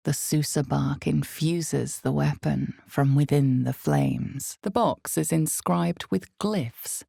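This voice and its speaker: A woman playing the ominous, knowing narrator of a dark fantasy game, speaking slowly and deliberately with dramatic weight in a low, gravelly voice. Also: dry recording, clean studio sound, full character voice. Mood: intense, savoring. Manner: slow, deliberate, dramatic delivery. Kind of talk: scripted second-person fantasy game narration.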